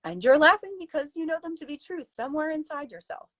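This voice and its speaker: Woman reading as a prim, high-pitched scolding Victorian companion. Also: very animated, fast, fairly bright tone, no room sound. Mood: firm, triumphant. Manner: prim, reproving, emphatic. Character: stern, outspoken Victorian lady's companion, unafraid to scold. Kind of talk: live dramatic story reading.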